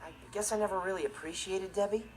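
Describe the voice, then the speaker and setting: A man's voice: sits high, fast, lightly acted, low-quality recording. A young male actor playing a laid-back, irresponsible teenage stepbrother, telling stories with offhand comic delivery in a TV sitcom. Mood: wistful. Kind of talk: scripted comic monologue in a TV sitcom. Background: loud music and laughter.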